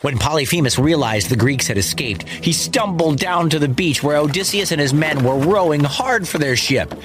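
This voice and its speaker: A man's voice: fairly high pitch, expressive, normal speed. A man narrating a scripted myth for children in a dramatic, playful manner. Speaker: storyteller, host.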